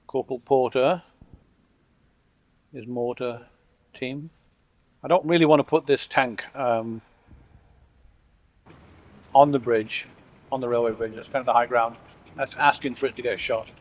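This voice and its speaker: A middle-aged man from Britain with a blended British and American accent, giving relaxed, wry commentary over a strategy game and joking with his units. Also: medium pitch, unhurried, pauses often. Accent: mixed British and American. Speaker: gameplay commentator. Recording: dull lo-fi audio.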